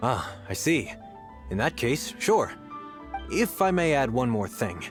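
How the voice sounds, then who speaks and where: Courteous, animated innkeeper cook. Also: male voice, medium pitch. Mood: obliging. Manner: polite, measured, explanatory. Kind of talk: anime-style video game voice acting. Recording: music clearly behind the voice.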